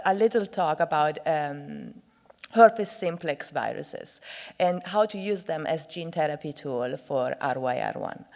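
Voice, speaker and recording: Italian woman giving a science talk, kinda halting and friendly, echoey hall. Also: lowish voice, a little mellow. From Italy. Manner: friendly, joking, hesitant with fillers. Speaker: research grant speaker. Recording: reverberant conference hall.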